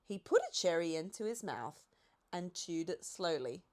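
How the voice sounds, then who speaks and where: A woman's voice: on the low side, big pitch swings, normal speed, darkish tone. A woman narrating a children's storybook expressively as an audiobook reader.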